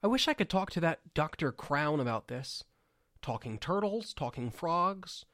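A man's voice: fairly high pitch, sing-song, unhurried, a bit bright. A man playing a nerdy, earnest field researcher rambling into an audio log in a drama, with insects behind him. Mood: wistful, musing. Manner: rambling, hesitant, exclaiming.

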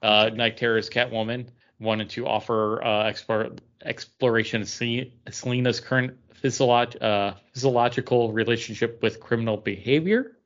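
Male podcast co-host, mid-pitched, casual and self-mocking, muffled lo-fi sound. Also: fairly even intonation, normal speed, few pauses. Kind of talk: casual chat about comics and beer. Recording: dull, lo-fi audio.

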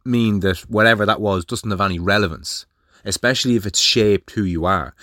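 A man's voice: on the low side, fairly bright tone, no room sound, studio quality. A man, talking alone on his podcast in a blunt way, swearing freely and firing rhetorical questions. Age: 30s. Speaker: podcast host. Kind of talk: solo monologue.

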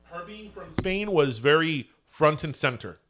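A man's voice: on the high side, measured pace. A man co-hosting a casual podcast chat, talking in a Cuban American accent and slipping Spanish phrases into his English. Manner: animated, drops into Spanish phrases. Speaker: podcast co-host.

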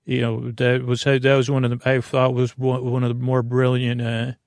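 A man hosting a sleep podcast, rambling through tangents in a low-key, unhurried way and breaking off his own sentences. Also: monotone, darkish tone, no room sound, clean studio sound. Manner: meandering, self-interrupting, tangents. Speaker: podcast host. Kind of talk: rambling bedtime monologue.

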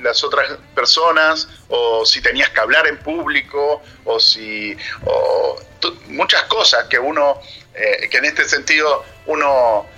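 A male radio host muses aloud, pausing and restarting with fillers. His voice is strikingly bright and sharp at a middle pitch, with faint music in the background. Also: breathy edge, lo-fi sound. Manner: thoughtful, halting, with many fillers. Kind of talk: reflective radio conversation.

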